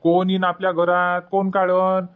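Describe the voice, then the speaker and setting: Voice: mid-range voice, completely flat delivery, moderate pace, clear, resonant tone, narrow, tinny audio, natural voice. A performer voicing a scolding, bossy village husband and father in a Marathi cartoon comedy, with a Varhadi accent from Vidarbha.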